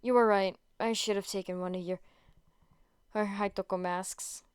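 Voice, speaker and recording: A woman voicing a stoic, emotionless male hero who talks in a quiet, deadpan way. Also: mid-range voice, normal speed, smooth, no echo, clean studio sound, natural voice.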